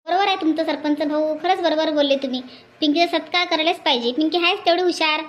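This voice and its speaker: A woman's voice: high-pitched, fast, slight background noise, natural voice. A woman voicing an agreeable, dutiful cartoon village woman, emphatic and repetitive, with a rural Vidarbha Marathi accent. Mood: approving. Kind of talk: hobby cartoon dialogue.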